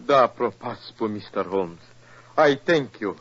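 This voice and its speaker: Florid foreign emissary, swooping delivery. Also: male voice, lowish voice, animated, unhurried, fairly bright tone. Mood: grateful, triumphant. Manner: formal, grandiloquent, stilted phrasing. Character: florid, emotional, insistent male foreign political emissary. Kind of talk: 1940s radio acting. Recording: muffled, band-limited old radio recording.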